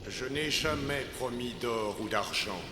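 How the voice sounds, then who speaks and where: A man playing a calm, majestic God in a French cartoon film, speaking with solemn authority through heavy reverb. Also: a little slow, over music. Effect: heavy divine reverb. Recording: long echoing reverb over rumbling ambience.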